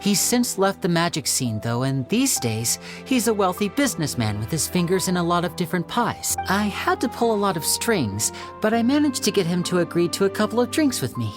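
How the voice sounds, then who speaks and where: A voice actor playing a charming young stage magician who talks politely and persuasively. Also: male voice, high voice, sing-song, fairly quick, few pauses, music in the background. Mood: composed. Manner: polite, courteous, gently persuasive. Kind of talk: video game voice acting.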